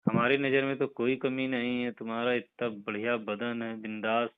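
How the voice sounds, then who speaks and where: A man's voice: mid-range voice, flat delivery, normal speed, smooth, no echo, lightly acted. A man playing a flirtatious boss in a phone conversation, coaxing and often checking that he is being followed. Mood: lecturing, familiar. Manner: coaxing, repeatedly checks for agreement. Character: flirtatious male boss coaxing a former employee back. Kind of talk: staged phone call conversation. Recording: tinny phone line.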